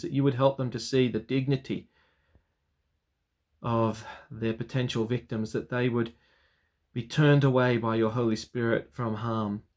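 Earnest young clergyman at his desk. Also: mid-range voice. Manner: earnest, solemn, measured prayer cadence. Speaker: Anglican clergyman. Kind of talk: vlog talk leading a spoken prayer. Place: study with bookshelves, indoors. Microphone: webcam framing at desk.

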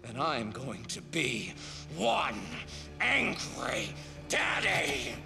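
Casting: flamboyant, lewd game psychopath, very slow swooping delivery in a cavernous reverb. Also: male voice, medium pitch, huge pitch swings, creaky, shouting, over music, full character voice. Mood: threatening, coaxing. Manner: lewd, taunting, drawn-out. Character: leering, sadistic, flamboyant male psychopath in fetish gear. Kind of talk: video game cutscene acting. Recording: long hall reverb.

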